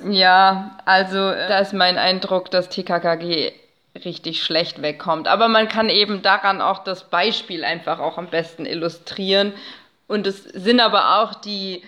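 A woman speaking as an expert guest in a casual interview, explaining things with halting, searching phrasing. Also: mid-range voice, fairly even intonation, bright, forceful tone.

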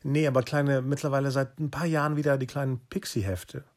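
A man's voice: mid-range voice, flat delivery, fairly quick. A middle-aged man hosting a podcast, chatting casually and asking his guest questions. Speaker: podcast host, storyteller. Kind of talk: casual interview chat.

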